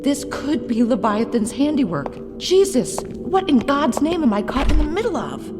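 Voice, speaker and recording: Audio drama lead: young female researcher, high voice, intense and distraught, music underneath. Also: very animated, normal speed. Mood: shaken. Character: distraught, emotional young female scientist in a thriller. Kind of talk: emotional audio drama acting.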